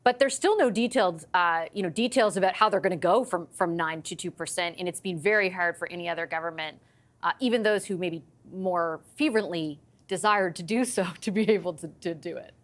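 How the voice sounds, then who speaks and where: A woman's voice: fairly low pitch, brisk. A woman hosting a political panel, speaking conversationally as she moderates the discussion. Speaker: host.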